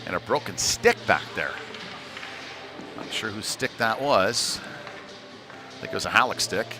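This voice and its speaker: A man doing live hockey play-by-play in a loose, conversational way, with hesitant pauses mid-call. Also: lowish voice, lively intonation, over music. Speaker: play-by-play commentator. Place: ice rink. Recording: noisy live rink ambience.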